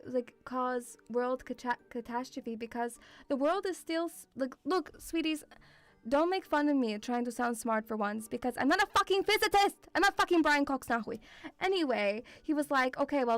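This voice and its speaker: A woman with a mixed European accent touched by Scottish, chatting casually as a livestream host in an excitable, rambling, sweary way. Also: sits high. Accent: mixed European with Scottish influence. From Lithuanian-Russian background, partly grew up in Scotland.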